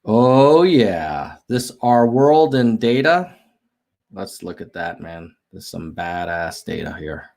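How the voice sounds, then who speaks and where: American guy rambling thru charts on a stream, pitch bounces around a lot, reads chat out loud. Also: big pitch swings, slow pace, gravelly. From United States. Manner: musing aloud, frequent ums and self-corrections; reads viewer chat aloud. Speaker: livestream host. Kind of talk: casual data walkthrough on livestream.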